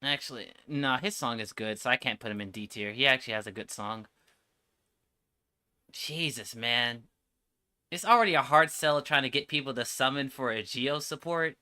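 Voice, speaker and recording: Male game streamer, mid-pitched, very sharp and bright, slangy off-the-cuff commentary with an exasperated edge. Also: moderate pace, smooth. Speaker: streamer reacting to game trailers. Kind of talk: casual reaction livestream commentary.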